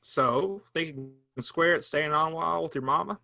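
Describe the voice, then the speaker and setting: A man's voice: a little high, normal speed, creaky, sharp tone, dry recording, clean studio sound, full character voice. A man reading the part of an easygoing older farmer brother, coaxing and teasing in a folksy way. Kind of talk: staged play reading.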